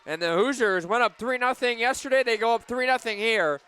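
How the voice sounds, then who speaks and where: A man doing live play-by-play for a softball radio broadcast outdoors, punchy on big plays and conversational between them. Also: extremely high pitch, fairly quick, smooth. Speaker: play-by-play sports announcer.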